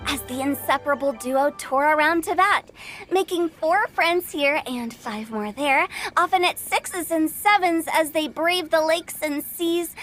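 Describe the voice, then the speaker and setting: A woman's voice: high-pitched, bright, forceful tone, full character voice. A woman voicing a playful, theatrical young girl poet in a video game, with a teasing sing-song delivery. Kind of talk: video game character dialogue.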